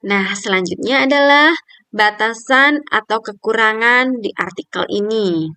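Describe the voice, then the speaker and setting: A woman's voice: fairly high pitch, a little slow, sharp tone, clear, resonant tone, no echo. A young adult woman reading a scripted article review in a careful, point-by-point manner, like a student presenter.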